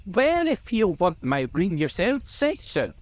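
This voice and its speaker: A man's voice: high voice, sing-song, measured pace, voice pushed up. A man voicing a stubborn, suspicious hobbit in a storybook, with a stammering, defensive, insistent manner. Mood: angry, possessive. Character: stubborn, suspicious hobbit clinging to his treasure.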